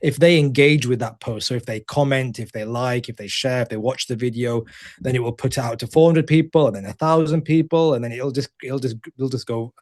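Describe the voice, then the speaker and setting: A man gives a webinar presentation at a middle pitch. His voice stays monotone, and he talks haltingly, restarting and repeating words as he searches for the next phrase. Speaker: GP giving a webinar talk.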